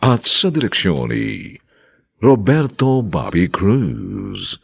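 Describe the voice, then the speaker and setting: A man hosting a radio drama, reading in a scripted, theatrical way with drawn-out phrases and deliberate pauses. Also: low-pitched, huge pitch swings, gravelly, breathy edge. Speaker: radio drama host and announcer.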